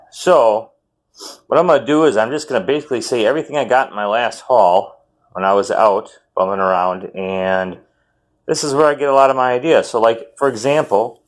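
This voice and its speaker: A man, chatting casually and rambling about a game haul with lots of ums and self-corrections; he says his voice runs fairly high. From Wisconsin, US. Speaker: retro game collector vlogger.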